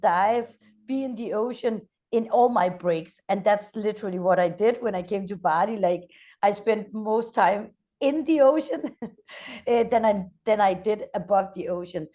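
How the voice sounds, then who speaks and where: Danish woman in her 30s, interview guest, lots of energy, keeps saying uh, talks at a normal middle pitch. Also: measured pace. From Denmark. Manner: energetic, frequent "uh" hesitations. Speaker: guest, company founder. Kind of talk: interview conversation.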